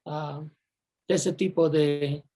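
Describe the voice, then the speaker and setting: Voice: medium pitch, steady tone, very slow, dark tone. Hesitant panel moderator asking questions. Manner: questioning, hesitant with many fillers.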